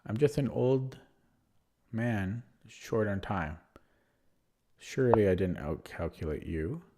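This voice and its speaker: A man streams online chess, talking through each move out loud in a low, unhurried voice. He hesitates often, fills pauses with ums, and pokes fun at himself as he calculates. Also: noisy background. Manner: thinking aloud, self-deprecating, hesitant with many fillers. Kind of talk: live game commentary.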